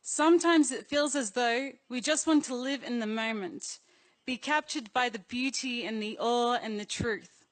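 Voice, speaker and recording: A woman's voice: a little high, measured pace, rough recording. A young adult woman with an Australian accent, delivering a prepared speech to an assembly as a youth delegate in an earnest, personal manner.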